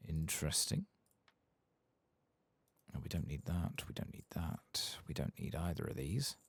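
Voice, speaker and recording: Very deep, husky soft-spoken man. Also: big pitch swings, dry recording. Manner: unhurried, murmured running commentary. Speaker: ASMR gaming streamer. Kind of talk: soft-spoken ASMR game playthrough commentary.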